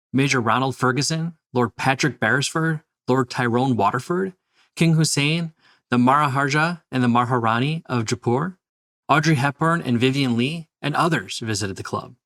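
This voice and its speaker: A man's voice: medium pitch, a bit bright. A man hosting an interview podcast, speaking as a presenter introducing and talking with a guest. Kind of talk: scripted introduction, then conversational interview.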